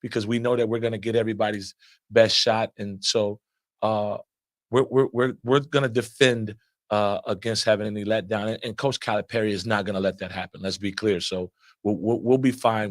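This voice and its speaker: A man coaching basketball fields reporters' questions with a loud voice set a little low. He often restarts a phrase several times before pushing through to the point. The sound is dry and close, with no room around it. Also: dry recording. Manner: repeats words often while building answers, steady and earnest. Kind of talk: press conference answers.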